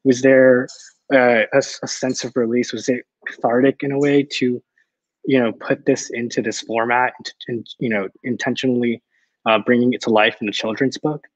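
A man's voice: mid-range voice, level tone, moderate pace, no echo. A young man moderating a book discussion on a video call, appreciative, with frequent fillers. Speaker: interviewer, event moderator. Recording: dull video call audio.

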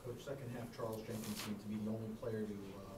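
Very fast male reporter, far off. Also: fairly low pitch, level tone. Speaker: sports reporter. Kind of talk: press conference questions. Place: press room indoors, off camera. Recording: echoey, noisy room, far from mic.

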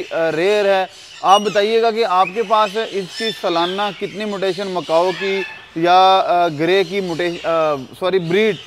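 A man's voice: high-pitched, noisy background. A man from Pakistan interviewing a breeder, asking long questions and interjecting short agreements.

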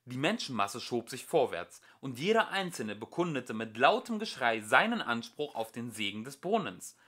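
A man reading a fairy tale aloud as an amateur audiobook narrator, with expressive storytelling. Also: medium pitch, moderate pace.

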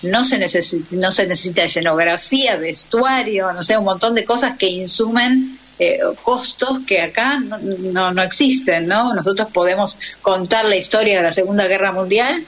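A woman's voice: medium pitch, normal speed, hardly any pauses, a bit bright. A woman with an Argentine accent talking conversationally as a radio interview guest, explaining and often checking with tag questions.

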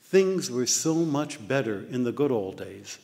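An older man with an American accent giving an animated illustrated lecture, often putting on mock voices. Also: medium pitch, measured pace, gravelly. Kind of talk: illustrated talk. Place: dark lecture hall with projector screen. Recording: long hall reverb.

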